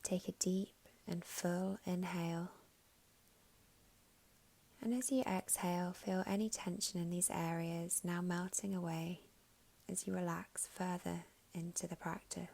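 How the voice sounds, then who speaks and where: A woman guiding a meditation in a soft, soothing, unhurried way with long pauses, her voice breathy and slipping toward a whisper at phrase ends. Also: lowish voice, little pitch movement, low-quality recording. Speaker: meditation guide. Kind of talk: guided meditation narration.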